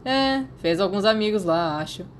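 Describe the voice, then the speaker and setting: A woman's voice: mid-range voice, animated, normal speed, a little hiss or hum, close to an everyday voice. A Brazilian woman voicing an irritable, dismissive man in audiobook dialogue. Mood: offhand.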